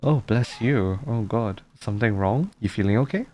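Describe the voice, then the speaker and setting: A woman's voice: very deep voice, expressive, normal speed, dark tone, a little hiss or hum. A woman giving casual playthrough commentary as a game streamer, musing aloud and reading dialogue in an offhand way. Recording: game music behind.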